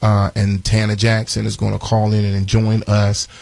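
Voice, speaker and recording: A man in his forties with a raspy voice, hosting a live morning radio show and chatting through announcements with lots of fillers and restarts. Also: fairly low pitch, monotone, moderate pace, a little mellow, no echo. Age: 40s. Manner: chatty, repeats phone numbers, many fillers and restarts.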